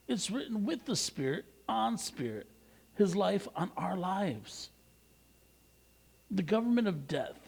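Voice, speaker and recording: American male pastor for scripture narration; husky, gravelly tone, emphatic and deliberate phrasing. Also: fairly high pitch. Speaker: pastor reading scripture aloud. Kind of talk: expressive scripture reading.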